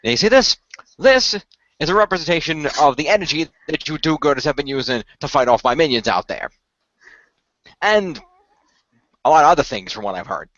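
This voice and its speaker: A smug god of the underworld, acted by a man for a radio play, holds forth in a high, very animated voice. He is a sarcastic showman who mock-reassures his listeners. The audio is dry and a little muffled. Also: a little fast, long pauses, dry recording. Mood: gloating. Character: fast-talking smug male god of the underworld. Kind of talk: hobby radio play acting.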